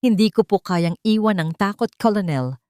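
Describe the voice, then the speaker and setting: Woman playing an anguished, hoarse mother. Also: medium pitch, expressive, normal speed, mellow, no echo, studio quality, lightly acted. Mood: anguished, fearful. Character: anguished mother, a fallen female pilot.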